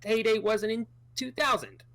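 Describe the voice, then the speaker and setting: A thirty-year-old podcast co-host in casual sports chat, chatty and trailing off into low asides. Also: normal speed, a bit bright, no echo.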